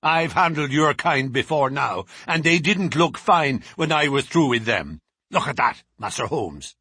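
A man voicing a menacing male prizefighter thug who talks in rough, ungrammatical threats. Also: mid-range voice, lively intonation, measured pace, pushed and bright, dry recording, studio quality, full character voice.

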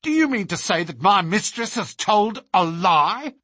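A man's voice: mid-range voice, sing-song, moderate pace, a bit bright, slightly rough, no echo, clean studio sound. A man voicing a stern, loyal Australian lady's maid who speaks bitterly and indignantly in an audiobook story. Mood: outraged. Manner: indignant, outspoken.

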